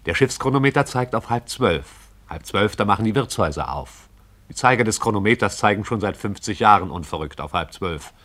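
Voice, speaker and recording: A man narrating a radio play in a measured, poetic storytelling manner. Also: on the low side, lively intonation, fairly bright tone.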